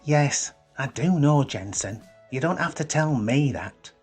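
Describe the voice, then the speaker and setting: A man voicing a touchy, quick-thinking lad in a story. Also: medium pitch, expressive, a little mellow, husky, faint music behind, pitched above the performer's own voice. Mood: irritated. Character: Harry, touchy, quick-thinking lad, son of stern mother.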